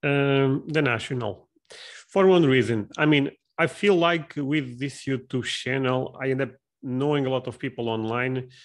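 Man, mid-pitched voice, casual podcast host, halting delivery, repeated words. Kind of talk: casual interview chat.

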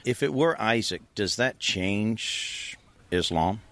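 A low-pitched man leads a street interview as an evangelist, choosing his words with care. His probing questions come at a steady pace, broken now and then by an "uh". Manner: measured, probing questions with occasional "uh". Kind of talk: street interview with scripted intro.

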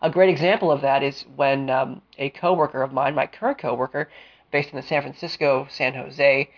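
A man hosting a solo career-advice podcast, smooth-voiced and deliberate, with a cold and a sniffle, explaining with frequent fillers. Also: mid-range voice, steady tone, highs cut off. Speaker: podcast host.

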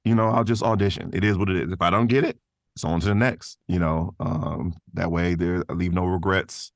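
Very deep-voiced man chatting casually. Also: steady tone, fairly quick, gravelly, dry recording, studio quality. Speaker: guest, voice actor. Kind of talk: casual conversational interview.

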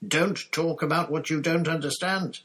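A man's voice: lowish voice, measured pace, a bit bright. A man voicing a sneering, vengeful male professor who speaks in a silky, spitting, menacing way, in an audiobook. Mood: shouting. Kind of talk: audiobook character voice.

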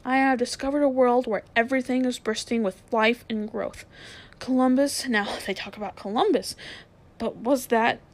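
A woman's voice: a little high, fairly even intonation, normal speed, natural voice. A woman voicing a greedy, boastful man past sixty who gets carried away by his money-making schemes, read as a storybook character. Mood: gloating. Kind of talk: storybook reading aloud.

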